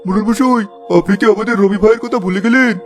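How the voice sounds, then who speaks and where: An eager, urgent cartoon village messenger, played by a man. The voice is extremely high and rapid, over clear music. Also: lightly acted. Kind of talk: cartoon voice acting.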